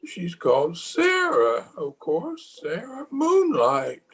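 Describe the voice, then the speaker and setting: An actor playing a feeble, forgetful old husband in a radio play, halting and repeating his words, tender and querulous. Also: high-pitched, wildly swooping pitch, a bit bright, exaggerated voice. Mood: querulous, bewildered. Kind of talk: old-time radio drama acting. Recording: home video call with music.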